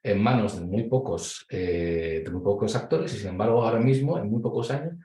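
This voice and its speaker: Man, Spain Spanish accent, conference speaker, measured, hesitant, dark tone. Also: on the low side. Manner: measured and explanatory, with frequent hesitations. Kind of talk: online conference presentation. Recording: echoey room.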